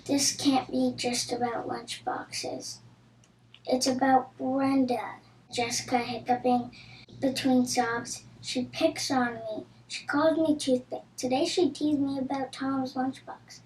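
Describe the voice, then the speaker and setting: High-voiced female storybook reader in echoey room. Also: little pitch movement, slow, a little mellow. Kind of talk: reading a children's storybook aloud. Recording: roomy echo with audible background noise.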